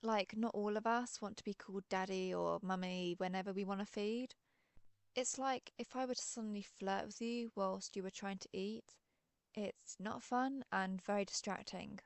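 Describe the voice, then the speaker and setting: A woman's voice: medium pitch, steady tone, normal speed, dry recording, lightly acted. A woman playing a friendly, centuries-old female vampire who chats politely and wryly, as in a scripted roleplay interview. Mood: mildly exasperated. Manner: genteel, conversational, apologetic, gently wry. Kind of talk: scripted roleplay audio, one side of an interview.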